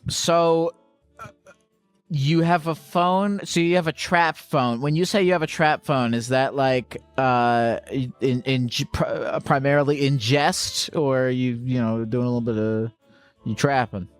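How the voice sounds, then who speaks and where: A man's voice: mid-range voice, fairly even intonation, clean tone, soft background music. Teasing, profane male call-in host. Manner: teasing, profane, quick interjections. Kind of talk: call-in show banter.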